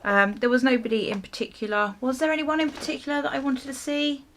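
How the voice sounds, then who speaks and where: A woman's voice: sits high, flat delivery, fast talker, a bit bright, a little hiss or hum. A woman chatting casually to viewers as she gets ready, rambling and interrupting herself as a vlogger. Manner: rambling, self-interrupting, chuckly. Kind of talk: casual storytime chat while getting ready.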